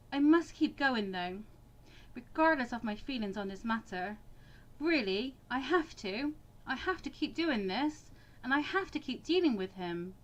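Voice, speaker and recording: A woman voicing an anxious, wryly sarcastic bookish teenage girl in an expressive, introspective visual novel reading. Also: high-pitched, full character voice. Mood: resigned.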